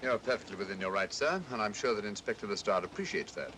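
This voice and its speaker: Casting: cool English sleuth, rapid clipped delivery, courteous, old mystery film with clear noise. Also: male voice, pushed and bright. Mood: coolly polite. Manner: clipped, suave, dryly polite. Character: cool, courteous, incisive male private detective. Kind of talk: 1940s mystery film acting. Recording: noisy old film soundtrack, train rumble.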